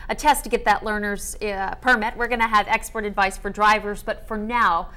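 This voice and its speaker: A woman's voice: medium pitch, steady tone, normal speed, bright, forceful tone. A woman hosting a local TV news interview, asking questions and leading into the next segment with upbeat, scripted lines.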